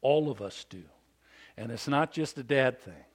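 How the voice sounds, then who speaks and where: A man in his fifties preaching a sermon to fathers, with a big, animated delivery that calls for amens from the congregation. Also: medium pitch, moderate pace, breathy edge. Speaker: pastor.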